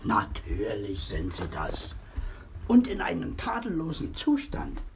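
An actress playing a courteous, knowing antique shopkeeper in a radio drama, husky and gravelly, with a polite, insinuating sales manner. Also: female voice, very deep voice, wildly swooping pitch, a little slow, a little hiss or hum, full character voice. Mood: courteous, assured.

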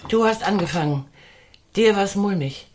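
A woman's voice: medium pitch, animated, moderate pace, noisy background, natural voice. An actress playing a whimsical, argumentative woman who philosophizes in a wordy, declamatory way in a German radio drama. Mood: agitated, insistent.

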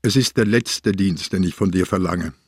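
An old, ailing police detective in a crime radio play. His voice is low and close, with a shrewd, wry manner and deliberate delivery. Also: a little mellow, husky, no room sound. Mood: quietly instructing. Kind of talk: radio drama acting.

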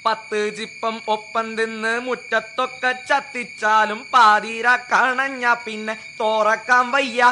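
An actor playing a stubborn householder in a radio drama, refusing in sing-song verse. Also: male voice, extremely high pitch, flat delivery, slow, hardly any pauses, pushed and bright, heavily acted. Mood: grumpy. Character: stubborn sleepy householder refusing to open door. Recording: noisy radio drama ambience.

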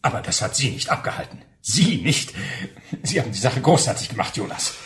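A man playing a generous client in a radio play, effusively grateful and brisk in his manner. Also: mid-range voice, very animated, a little hiss or hum, lightly acted. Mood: gushing. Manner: gushing, brisk.

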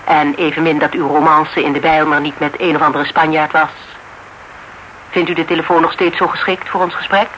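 An actress in a radio drama playing a composed, persistent professional woman with a formal, measured, businesslike manner. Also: sits low, flat delivery, fairly bright tone. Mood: insistent. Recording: noisy radio drama recording.

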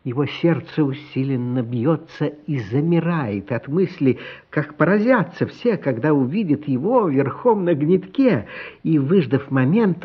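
A man's voice: a little high, breathy edge, dull audio. A man narrating a story for a radio play in a dramatic, scripted style.

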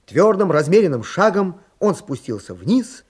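A man's voice: sits high, very animated, normal speed, darkish tone, slightly rough, full character voice. A man narrating a children's radio play as a storyteller, reading scripted prose. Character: expressive male storyteller narrating a children's tale. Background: music.

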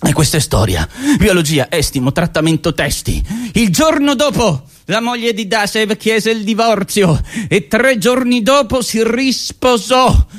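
A man performing a comic theatrical radio monologue, declamatory and emphatic, stretching some words and rushing others. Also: high-pitched, expressive. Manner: declamatory, emphatic, with drawn-out words and sudden rushes. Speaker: actor, monologist.

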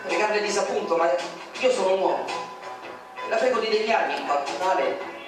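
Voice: mid-range voice, lively intonation, a little fast, natural voice. A reader voicing an earnest male employee who speaks formally and pleads deferentially, in a radio poem reading. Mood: pleading. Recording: very echoey, distant from mic, music behind.

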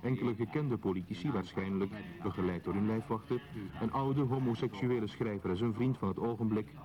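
A man's voice: lowish voice, a little fast, lo-fi sound, lightly acted. A man narrating a radio drama in a formal, scripted documentary style. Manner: formal, reporting. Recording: crowd murmur behind voice.

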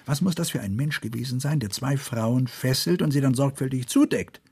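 A man's voice: medium pitch, moderate pace, a little mellow. An actor playing a troubled, sleepless male murder investigator who broods and thinks aloud in a radio drama. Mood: brooding, weary. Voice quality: husky.

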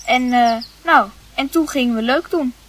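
Woman acting cocky high-voiced gossiping schoolboy. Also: moderate pace, slight background noise, natural voice. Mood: boastful. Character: cocky gossiping schoolboy, eager to tell secrets.

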